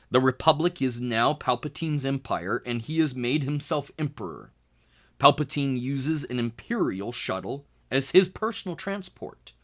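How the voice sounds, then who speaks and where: Man reading aloud with lively, swooping intonation. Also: medium pitch. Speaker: tutor reading a children's book aloud. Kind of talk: expressive educational read-aloud narration.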